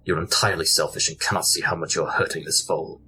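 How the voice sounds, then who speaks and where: A man's voice: very deep voice, fairly even intonation, quick pace, pushed and bright, heavily acted, rough recording. A man playing a stern, precise detective pony with formal, articulate speech in a cartoon audio drama. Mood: stern, reproachful. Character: stern, precise, brilliant detective pony, Victorian gentleman type.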